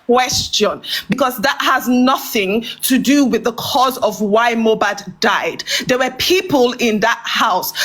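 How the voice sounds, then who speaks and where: A woman's voice: high voice, fairly bright tone. Sex: woman. Origin: Nigeria. Role: caller. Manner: insistent, fires rhetorical questions. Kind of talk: heated argument on a live call.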